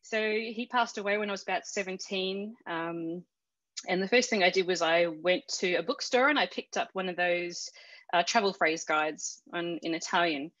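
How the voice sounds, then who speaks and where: A woman's voice: fairly low pitch, normal speed, fairly bright tone, no echo, highs cut off. An Australian woman telling a personal story in a casual interview, chatty, with many fillers and restarts. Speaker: interview guest.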